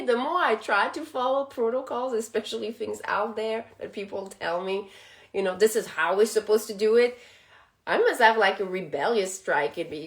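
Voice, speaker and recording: A woman whose first language is French, hosting a spiritual channel and talking casually, reflective and laughing often. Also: mid-range voice.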